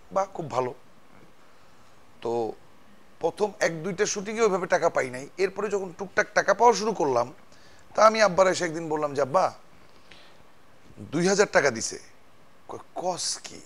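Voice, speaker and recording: A man with a fairly high voice and a colloquial Bangladeshi accent chats as an actor guest. He tells stories casually and acts out each line of dialogue. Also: expressive, normal speed, pauses often. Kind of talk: casual anecdote telling.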